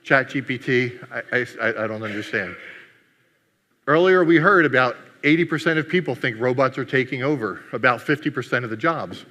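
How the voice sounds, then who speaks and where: A man's voice: mid-range voice, lively intonation, fairly quick, bright, forceful tone. A man giving a humorous keynote talk at a conference, joking with the audience. His first language is Greek. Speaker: conference speaker. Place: conference hall. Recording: long hall reverb.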